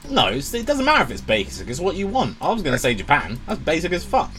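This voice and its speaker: A man's voice: on the high side, wildly swooping pitch, fast talker, a bit bright. A man hosting a podcast, joking around in casual chat. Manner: playful, jokey, laughs often. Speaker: podcast host.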